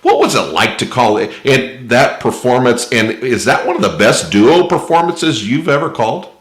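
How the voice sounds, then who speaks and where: A middle-aged American man hosting a casual podcast interview, talking in a halting, rambling, fan-like way. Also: mid-range voice, expressive. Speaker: podcast host. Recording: roomy echo on the voice.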